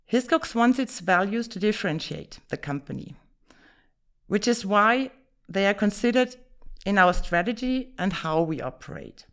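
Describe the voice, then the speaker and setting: A woman's voice: mid-range voice, fairly bright tone. Businesslike woman with a German first language. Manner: measured, businesslike, with frequent fillers. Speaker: insurance executive guest. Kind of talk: podcast interview answers.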